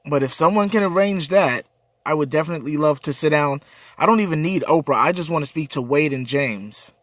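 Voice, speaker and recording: A man's voice: a little high, a little fast, fairly bright tone, no echo. A man with a cold and a stuffy, congested voice, hosting a casual livestream chat and answering viewer comments in an earnest, rambling way. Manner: earnest, rambling, repeats words. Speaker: livestream host.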